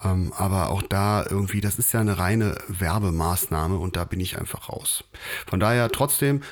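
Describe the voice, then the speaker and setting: A man's voice: deep voice, moderate pace. A man co-hosting a podcast, chatting casually and rambling with many fillers and hesitations. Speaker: podcast co-host. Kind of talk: casual chat.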